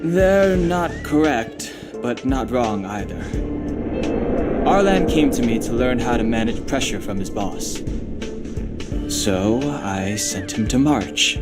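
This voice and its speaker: A reserved young space station guard from a video game, played by a man. His mid-pitched voice is measured, aloof and matter-of-fact, with loud music playing behind it. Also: close to an everyday voice. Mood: calm, aloof. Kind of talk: scripted video game dialogue.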